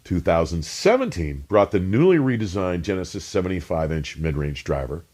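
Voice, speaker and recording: A man reading scripted, documentary-like narration as a host, measured and emphatic, with precisely pronounced technical terms. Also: low-pitched, very animated, a little mellow. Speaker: host and narrator of an audio review channel.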